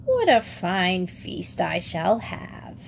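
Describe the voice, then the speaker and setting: A woman's voice: fairly low pitch, wildly swooping pitch, takes it slowly, gravelly, a little hiss or hum, full character voice. A woman doing a gloating old witch. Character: wicked old witch, bony and greedy.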